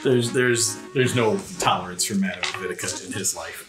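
A man's voice: sits low, normal speed, poor audio. A man talking casually as a player in a tabletop role-playing game podcast. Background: background music.

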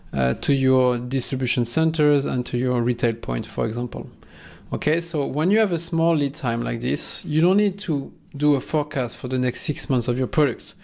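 A man, speaking at a middle pitch. He explains things in a relaxed, conversational way, with plenty of restarts and quick checks with the listener. The sound is close to the microphone in a small room. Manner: loose and conversational, frequent restarts and "okay?" checks. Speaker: supply chain instructor. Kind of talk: casual explainer lesson. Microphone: close microphone in front.

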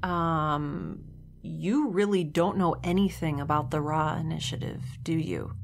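A woman's voice: low voice, a little slow, gravelly, over music. An actress playing a blunt young woman scientist, held captive, who speaks in a dry, sarcastic way.

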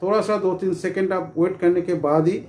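A man's voice: sits high, steady tone, normal speed. A middle-aged man giving an informal instructional talk to camera in a repetitive, explanatory way, with frequent filler phrases. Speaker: presenter of security training videos. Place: small indoor room with curtains. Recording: echoey room with faint background noise.

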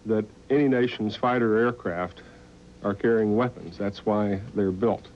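An American man in his forties giving a blunt, deliberate press briefing from a podium. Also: fairly low pitch. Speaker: Pentagon spokesman. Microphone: podium mic. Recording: muffled archival tape, faint noise.

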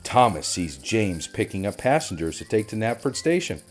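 A man narrating a children's storybook in an expressive read-aloud style. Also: fairly low pitch, normal speed. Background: music.

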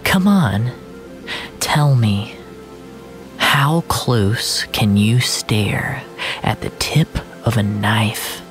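Slow, hushed fiction narrator over music. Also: mid-range voice, expressive. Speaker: storyteller narrator. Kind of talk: literary first-person audio fiction narration.

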